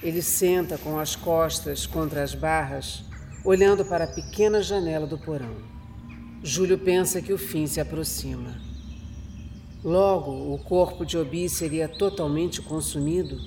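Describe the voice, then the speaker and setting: Slow, dramatic male horror narrator. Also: fairly high pitch, warm tone, slight background noise. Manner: measured, dramatic. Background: music.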